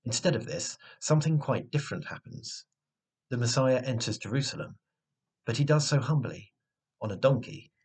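A man's voice: mid-range voice, expressive, normal speed, darkish tone, slightly rough. A man delivers a scripted sermon as a trainee preacher. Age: 20s. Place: small room indoors at home. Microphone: webcam at close range.

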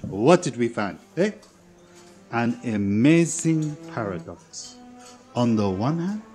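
An actor playing a courteous, self-assured, fussy Belgian detective with a French-speaking Belgian accent and precise delivery, in 1940s radio drama style. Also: male voice, mid-range voice, a little mellow. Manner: precise, theatrical, with rhetorical tags. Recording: 1940s radio broadcast with music.

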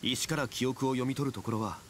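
A dignified anime game gentleman, acted by a man, with a mid-pitched voice. He speaks formally and with calm authority, measured and composed, over faint background noise. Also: close to an everyday voice. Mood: calm, analytical. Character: composed, dignified gentleman scholar-consultant in a fantasy world.

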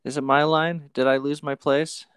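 A man with a fairly high, smooth voice reads a book aloud in a casual way, mixing in banter. The recording is dry, with no room sound. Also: little pitch movement, moderate pace, a bit bright, dry recording. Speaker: co-host reading a book aloud.

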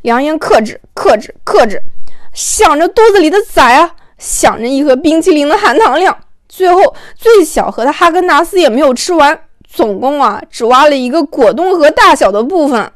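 High-voiced woman narrating an audiobook. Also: animated, moderate pace, no room sound, clean studio sound. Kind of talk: expressive scripted storytelling, conversational.

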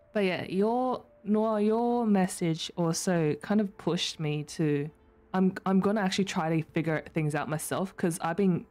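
A woman from Australia with an Australian accent chats casually on a live stream, answering viewers in a slightly low, easygoing voice. English is not her first language. She laughs often and breaks into high-pitched squeals. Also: dark tone. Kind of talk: casual chat reading chat messages.

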